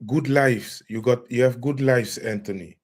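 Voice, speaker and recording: A man's voice: mid-range voice, measured pace. A man hosting a live-streamed lecture, greeting viewers by name and asking where they are watching from. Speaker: livestream host.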